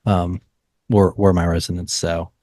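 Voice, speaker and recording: A man co-hosting a podcast, telling stories in a casual, halting way and repeating words as he searches for the next. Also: low-pitched, flat delivery, mellow, no room sound, studio quality. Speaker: podcast co-host. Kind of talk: casual sports storytelling.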